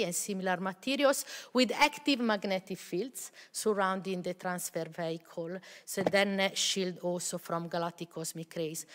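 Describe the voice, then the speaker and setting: A middle-aged woman researcher explains a technical point from a panel table, pausing often with fillers. Her voice is of middle pitch. A table mic picks her up, and a long reverb from the large hall trails behind. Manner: explanatory, many hesitations and fillers. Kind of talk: panel discussion answer. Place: large lecture hall stage.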